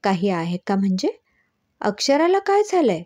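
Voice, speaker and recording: A woman's voice: mid-range voice, big pitch swings, moderate pace, darkish tone, no room sound. A woman storyteller voicing a shrewd middle-aged mother who questions in an insistent, worried, motherly way.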